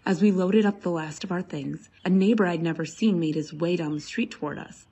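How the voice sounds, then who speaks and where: A woman's voice: lowish voice, brisk, dark tone, husky. A woman storyteller giving a scripted first-person narration of a scary story.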